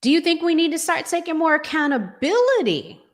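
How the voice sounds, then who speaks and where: A woman's voice: very high voice, very animated, fairly quick. A woman hosting a talk show, giving conversational relationship advice emphatically and repeating phrases to drive them home.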